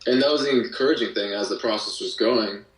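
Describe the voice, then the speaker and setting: A man with a fairly low voice talks casually as an interview guest, in loose slangy phrases that wander. He sounds a bit distant from the microphone, in a clearly echoey room. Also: fast talker. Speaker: podcast guest, musician and audio drama creator. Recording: roomy echo, distant from mic.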